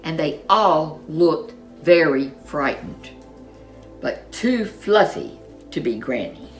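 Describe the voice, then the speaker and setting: A woman narrating a children's story in an expressive, dramatic storyteller's manner. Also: sits low, slow, faint music behind.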